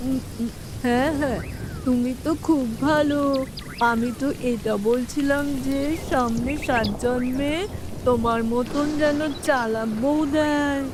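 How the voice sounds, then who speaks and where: A woman's voice: sits high, steady tone, unhurried, noisy background. A woman voicing a hungry, henpecked husband crow in a cartoon, complaining in a plaintive, exclaiming way. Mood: flattering. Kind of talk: children's cartoon fairy-tale acting.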